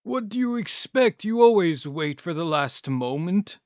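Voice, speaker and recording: A man's voice: high-pitched, animated, a little slow, darkish tone, no echo, voice pushed up. A man voicing an irritable, gloomy vagrant in a radio play, curt and complaining. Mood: pained, angry. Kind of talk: theatrical radio play acting.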